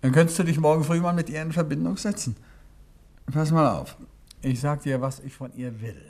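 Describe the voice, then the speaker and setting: An actor playing a suave, courteous, gently persistent detective novelist in a 1950s German radio drama, with a husky voice. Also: male voice, fairly high pitch, fairly even intonation, fairly quick. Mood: thoughtful, firm. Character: suave, calmly insistent male detective novelist and amateur sleuth.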